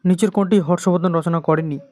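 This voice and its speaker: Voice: mid-range voice, steady tone, fast talker, dark tone, no echo. An exam coaching teacher with an Indian Bengali accent, reading out quiz questions and repeating the answers in an instructional way.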